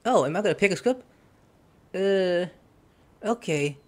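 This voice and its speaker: Lively jokey gamer, a woman. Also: on the low side, unhurried. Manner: jokey, profane, reacting aloud. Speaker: gamer commenting on gameplay. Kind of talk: casual let's play commentary. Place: indoor room at a gaming PC. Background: game music.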